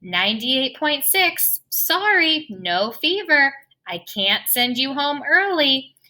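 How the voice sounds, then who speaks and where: A woman voicing an adult female school nurse in a read-aloud storybook, sounding brisk and apologetic. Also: sits high, big pitch swings, pushed and bright, pitched above the performer's own voice, full character voice, poor audio. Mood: matter-of-fact.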